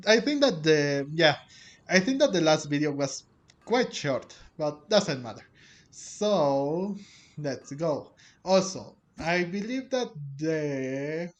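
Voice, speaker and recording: A man doing casual, rambling gameplay commentary as host, with halting delivery. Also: on the high side. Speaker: host of a game playthrough channel. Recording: game music under the voice. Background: game music.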